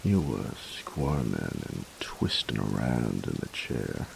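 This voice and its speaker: A gruff young bully boyfriend, acted by a man in an intimate roleplay, with an extremely low voice full of heavy fry. He takes his time and teases with a tender undertone. Clear hiss and rain sit behind him. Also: exaggerated voice. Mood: relaxed teasing. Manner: gruff, teasing, halting when tender. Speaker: voice actor in roleplay audio. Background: steady rain.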